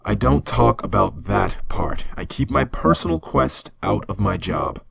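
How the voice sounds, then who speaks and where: Actor playing a very gravelly, stern space officer. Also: low-pitched, animated, fairly bright tone, no room sound, exaggerated voice. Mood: guarded, reflective. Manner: terse, stern, measured. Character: stern, guarded senior space officer trained in combat. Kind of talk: sci-fi audio drama.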